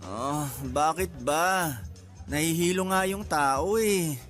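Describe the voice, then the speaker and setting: A man's voice: on the high side, takes it slowly, fairly bright tone, soft background music. An actor playing a young male suitor, defensive and apologetic, in a Philippine radio drama. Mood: groggy, complaining. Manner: pleading, defensive.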